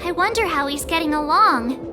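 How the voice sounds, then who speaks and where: High-voiced, curious young adventurer. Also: female voice, lively intonation, moderate pace, music in the background. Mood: curious, musing. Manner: chatty, musing. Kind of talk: English video game dub acting. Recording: noisy ambience behind.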